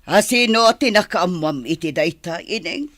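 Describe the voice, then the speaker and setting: A woman's voice: lowish voice, expressive, a little slow, a bit bright, no room sound. A woman playing a stern, disapproving mistress of the household and mother in an Ilocano radio comedy drama. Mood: suspicious, scolding.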